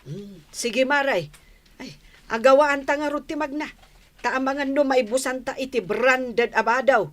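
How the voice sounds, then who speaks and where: A woman's voice: sits high, unhurried, a bit bright. A woman playing a chatty village seller in an Ilocano radio comedy, drawling and persuasive, with an Ilocano accent. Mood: sales patter. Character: chatty, coaxing village woman selling secondhand goods. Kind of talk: Filipino radio comedy drama acting.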